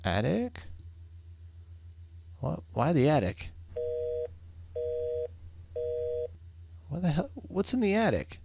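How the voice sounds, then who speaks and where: A man's voice: on the high side, wildly swooping pitch, a little slow, creaky, a little mellow, a little hiss or hum, voice pushed up, exaggerated voice. A man voicing a nervous, superstitious young real estate agent in a horror visual novel, with dramatic, exasperated delivery. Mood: alarmed, urgent.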